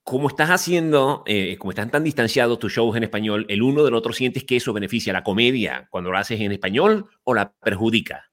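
A man's voice: medium pitch, lively intonation, a bit bright. A man, co-hosting a casual comedy podcast and throwing in playful jokes. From Venezuela. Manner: playful, quick jokes and asides. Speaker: comedian and co-host.

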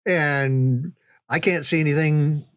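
An older man reminiscing in a casual, folksy way, unhurried, with pauses for emphasis. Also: a little high, steady tone, no room sound, studio quality. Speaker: podcast storyteller, reminiscing father. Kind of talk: casual conversational storytelling.

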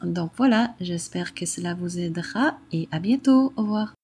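A woman's voice: fairly low pitch, animated, moderate pace, a little mellow, slightly rough. A woman from Canada, a native English speaker with an English-Canadian accent, giving a prepared personal explanation.

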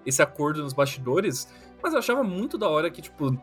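Animated high-voiced man. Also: brisk, soft background music. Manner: animated, slangy storytelling with many fillers. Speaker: podcast guest. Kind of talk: casual chat.

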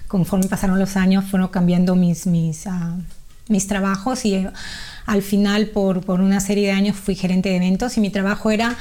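A woman's voice: medium pitch, flat delivery, moderate pace, dark tone. Chatty Peruvian woman guest. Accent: Peruvian Spanish. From Peru. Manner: chatty, with frequent fillers and restarts. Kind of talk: casual interview chat.

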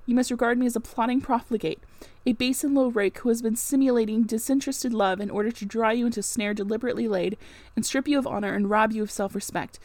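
Woman acting impassioned gentleman, storybook reading, high-pitched, theatrical, brooding, emphatic, dry close sound. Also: fairly even intonation, fast, no echo, close to an everyday voice. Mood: bitter, accusing. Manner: theatrical, long emphatic speeches. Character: impassioned, brooding married gentleman, pleading and accusing. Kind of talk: dramatic audiobook-style reading of a classic novel.

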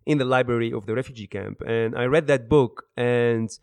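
A man in his thirties from Iraq and the Netherlands, answering interview questions in a halting, word-searching way. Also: mid-range voice, darkish tone, clear, resonant tone, no room sound. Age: 30s. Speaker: interview guest, author and business speaker.

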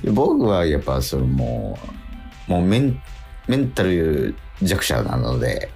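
Low-voiced middle-aged man, swooping intonation. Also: huge pitch swings, creaky, a little mellow, faint music behind. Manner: hesitant, halting, self-doubting. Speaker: radio host and stage actor. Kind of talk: casual radio chat.

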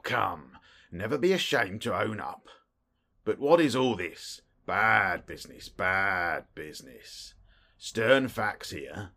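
A man voicing a stout, self-important middle-aged police detective in an audiobook, with a muffled, husky, wheezy voice and blustering, clipped phrases. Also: lowish voice, pushed and bright, exaggerated voice. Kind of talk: audiobook character voice.

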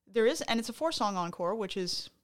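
A woman's voice: mid-range voice, lively intonation, a little fast. A woman co-hosting a podcast, chatting casually about a show with a playful, dryly teasing manner. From Canada. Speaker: podcast co-host. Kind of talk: casual chat, recounting a concert.

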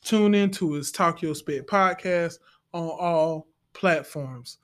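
A woman's voice: on the low side, slow pace. An American woman speaking in a casual interview style as a podcast guest. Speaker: podcast guest, stage play actress.

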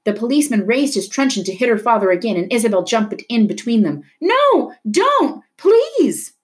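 A woman reading a novel aloud in an expressive storytelling style, dramatic at tense moments. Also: medium pitch, fast talker. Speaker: reader of a children's novel. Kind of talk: expressive read-aloud narration of a book.

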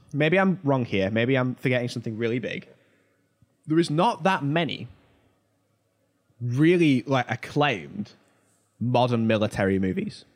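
A man with a medium-pitched voice co-hosts a casual pop culture discussion. He talks informally and offers his opinions in a conversational way. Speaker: podcast co-host.

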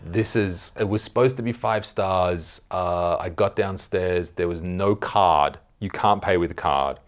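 A man's voice: low voice, measured pace. A man hosting a casual chat in a hotel room, swearing and telling mock-exasperated jokes. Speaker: comedian host.

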